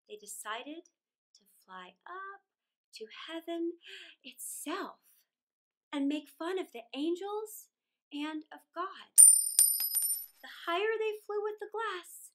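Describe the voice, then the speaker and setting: Animated, high-voiced woman reading a storybook. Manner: theatrical, deliberate, expressive with drawn-out words and dramatic pauses. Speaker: storyteller reading aloud for children. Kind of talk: dramatic children's storybook read-aloud. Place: small indoor room against a plain wall.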